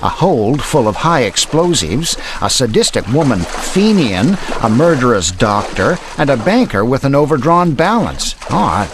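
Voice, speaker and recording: An actor playing a hapless, wisecracking police sidekick, hesitant and wryly sarcastic, in an old-time radio mystery. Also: male voice, mid-range voice, big pitch swings, measured pace, hardly any pauses. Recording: noisy old radio drama recording.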